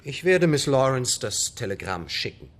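A man's voice: medium pitch, very animated, moderate pace, fairly bright tone. An actor playing an earnest, anxious male assistant with a touch of melodrama in a German radio play. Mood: earnest, timid.

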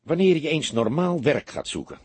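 A man plays a seasoned police detective in a crime story. He is dry and mocking, lecturing like a father. His voice is very raspy, with a lively melody. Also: a little high, normal speed, no echo, voice pushed up. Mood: wry, lecturing.

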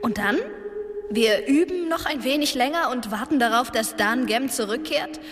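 An actress playing a curious, sceptical young companion in a German fantasy radio drama, direct and questioning. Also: female voice, on the high side, normal speed, a bit bright, lightly acted. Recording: long reverberant cave echo.

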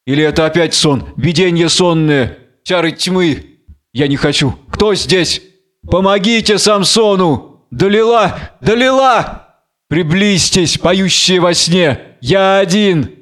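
A man's voice: high voice, slow pace, a bit bright, pitched above the performer's own voice. A man playing a tormented, proud captive strongman, declaiming and brooding in a biblical tragedy. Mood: haunted despair. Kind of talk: dramatic reading of a biblical tragedy.

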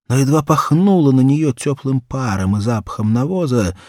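A man narrating a children's story as an audiobook, theatrical and expressive, with dramatic pauses and emphasis. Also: fairly low pitch, slightly rough, no echo, clean studio sound.

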